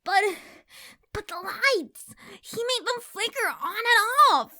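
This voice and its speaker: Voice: very high-pitched, lively intonation, slow, bright, forceful tone, pitched above the performer's own voice, heavily acted. A performer voicing a terrified young cartoon pony filly who pleads and whimpers in a fan fiction reading. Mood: tearful pleading.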